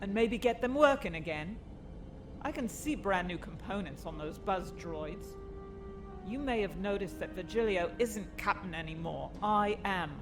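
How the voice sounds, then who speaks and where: A woman's voice: medium pitch, expressive, a little slow, music in the background. Woman playing a brusque, stern starship captain. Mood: scornful, commanding. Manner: curt, commanding, scornful. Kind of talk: audio comic drama acting.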